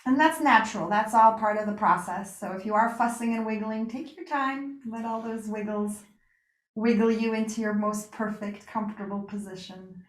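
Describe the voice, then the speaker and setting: Woman guiding meditation in an echoey room. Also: medium pitch. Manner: unhurried pauses, soothing reassurance. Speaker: yoga nidra meditation guide. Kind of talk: guided meditation, gentle spoken instruction. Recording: roomy, echoing sound.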